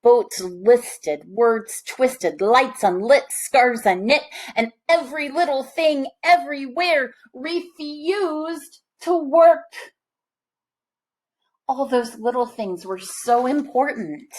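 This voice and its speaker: Slow-paced woman reading a storybook. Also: sits high, poor audio. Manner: expressive, addressing young listeners. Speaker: storybook reader. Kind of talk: animated read-aloud of a children's picture book with chatty asides.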